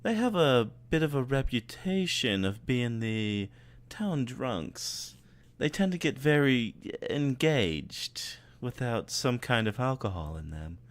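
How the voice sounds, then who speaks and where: A man voicing a genteel, gossipy, dramatic female cartoon pony in an affected, drawn-out manner. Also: mid-range voice, big pitch swings, pitched above the performer's own voice. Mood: knowing. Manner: affected, drawn-out, calls listener darling.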